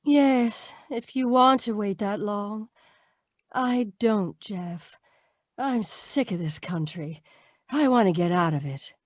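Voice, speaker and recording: A woman's voice: medium pitch, takes it slowly, no room sound, clean studio sound. A woman playing a selfish, scheming cafe singer with expensive tastes, world-weary, in old-time radio drama style. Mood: coy, dissatisfied.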